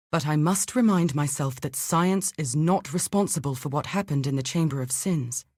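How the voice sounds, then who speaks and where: An actress playing an earnest, thoughtful scholar in exile in a video game, speaking in a measured, articulate and grave way. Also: female voice, low voice, a little mellow, slightly rough, no room sound, clean studio sound. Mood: resolute, grave. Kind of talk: scripted dramatic game dialogue.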